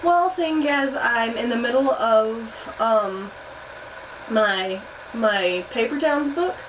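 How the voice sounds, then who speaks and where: A woman's voice: on the high side, expressive, slow pace. A young woman chatting bluntly in a casual vlog, swearing now and then. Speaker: vlogger. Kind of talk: casual accent challenge vlog. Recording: distant muffled home recording with heater noise.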